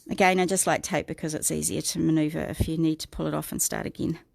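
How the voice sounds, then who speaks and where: A middle-aged woman with a New Zealand accent, croaky this morning, chatting through a livestream craft tutorial and greeting viewers by name. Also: low voice, little pitch movement, fast talker. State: croaky, clearing her throat.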